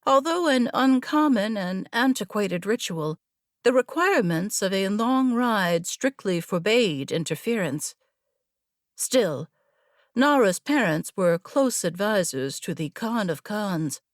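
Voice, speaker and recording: A woman narrating a scripted fantasy story in a measured, deliberate manner. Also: medium pitch, expressive, no echo, studio quality. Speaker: audiobook narrator.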